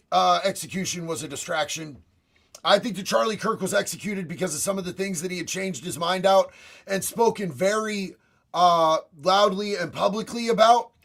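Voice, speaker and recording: A raspy young man talks off the cuff on his livestream, blunt and profane, tossing out bro and homie. His voice sits high for a man. He answers chat messages one after another. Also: a bit bright. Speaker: livestream host reading chat.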